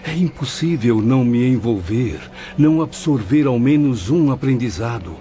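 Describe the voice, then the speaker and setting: A man acting earnest professor, fervent formal speech, mellow voice, audio drama, dull sound. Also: medium pitch, expressive, moderate pace, natural voice. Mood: moved, admiring. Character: earnest male professor spirit, devoted to art. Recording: dull audio with faint music.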